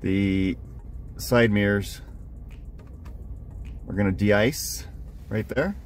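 Un homme commente avec enthousiasme un trajet en voiture sous la neige et filme au téléphone depuis le siège passager. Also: male voice, on the low side, drawn-out words. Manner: upbeat, chatty, easily impressed. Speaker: channel host filming from the passenger seat. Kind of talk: casual running commentary. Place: inside a car driving through a snowstorm. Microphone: handheld phone camera. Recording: loud car and road noise.

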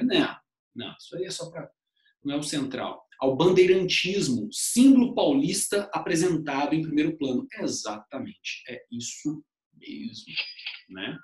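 Man, 30s, online history teacher; casual, chatty delivery; webcam audio with room echo. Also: normal speed. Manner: chatty, uses "né?" as a constant tag, animated pitch swings. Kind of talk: online lecture over slides.

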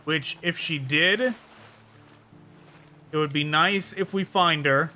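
A man's voice: on the high side, slow, bright, forceful tone. A man giving casual commentary as he plays through a game. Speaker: gaming YouTuber, let's player. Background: game music and sounds.

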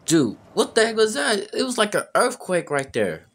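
A man's voice: sits high, huge pitch swings, moderate pace, fairly bright tone, voice pushed up, full character voice. A man voicing a lost, anxious young newcomer in an amateur game roleplay, hesitant and stammering. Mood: amazed.

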